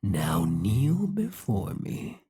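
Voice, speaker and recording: A performer voicing a haughty, wry demon ruler in an ASMR roleplay, speaking softly and huskily in a slow, condescending, possessive way. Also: medium pitch, wildly swooping pitch, no echo, studio quality, faint music behind, exaggerated voice. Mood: possessive, uneasy. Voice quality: soft, breathy, husky.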